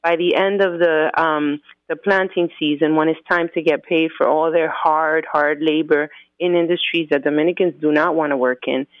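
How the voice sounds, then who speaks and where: A woman's voice: low-pitched, steady tone, moderate pace, dry recording. Woman on a tinny phone line. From United States. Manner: earnest, methodical, lays out points in groups. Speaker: activist guest. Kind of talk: explanatory interview answers.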